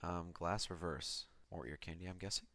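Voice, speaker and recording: A man's voice: deep voice, fairly even intonation, moderate pace. A man giving an offhand, self-deprecating commentary as he breaks down an electronic track he produced. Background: music from the track being played.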